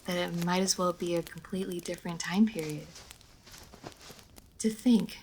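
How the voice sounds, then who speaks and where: A woman's voice: lowish voice, a little mellow, slight background noise, poor audio. A woman playing a centuries-old female vampire in a roleplay audio drama, prim, proud and guarded yet playful, with formal, old-fashioned phrasing.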